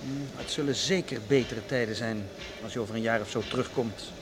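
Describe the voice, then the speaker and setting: A man's voice: medium pitch, a little fast, close to an everyday voice. A man acting a teasing, affectionate young friend in a radio play. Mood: teasing, sad. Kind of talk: Dutch radio drama acting.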